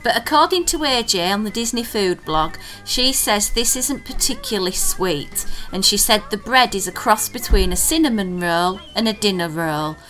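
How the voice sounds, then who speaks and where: A woman's voice: medium pitch, expressive, normal speed, few pauses, a bit bright, soft background music, rough recording. A woman co-hosting a casual podcast chat, teasing her co-host and reading out listener messages. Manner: chatty, teasing, reads listener tweets aloud.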